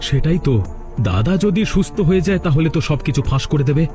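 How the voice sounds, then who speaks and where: A man's voice: a little high, fast talker, dark tone, faint music behind, lightly acted. A man voicing a resentful, threatening young village man in a cartoon melodrama, with dramatic delivery. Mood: anxious, annoyed. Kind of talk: cartoon voice acting.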